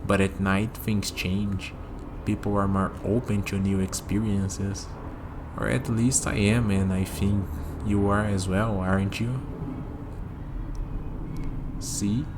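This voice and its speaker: Low-voiced man acting a friendly night walker, noisy background. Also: little pitch movement, slow, long pauses. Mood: reflective, warm. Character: friendly human man on a night walk, easygoing, musing. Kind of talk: ASMR roleplay spoken to the listener.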